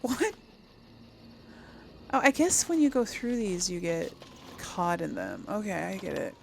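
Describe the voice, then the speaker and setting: A woman gives casual role-play commentary on a video game. She talks slowly in a mid-range voice, musing as she reacts, with game ambience clearly audible behind her. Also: mid-range voice, huge pitch swings, a little hiss or hum. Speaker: gaming video host and player.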